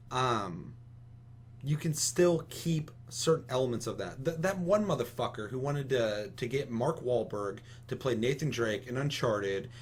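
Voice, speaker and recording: A man with a mid-pitched voice talks as a podcast co-host in a casual, opinionated way. He argues vehemently and peppers it with heavy swearing, over a faint hum. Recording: audible steady hum and noise.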